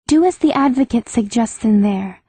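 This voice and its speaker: Teen noblewoman mage: formal, earnest, highish but mellow, slightly husky. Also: animated, normal speed, dry recording. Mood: earnest, firm. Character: earnest, proper young noblewoman mage in her teens. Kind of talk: full-cast audiobook acting.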